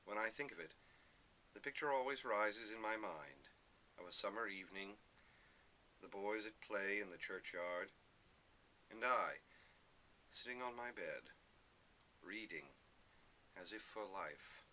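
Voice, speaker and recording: A man giving an expressive literary reading in the first person, with measured, dramatic phrasing. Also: lowish voice, a little hiss or hum. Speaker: reader of a classic novel excerpt.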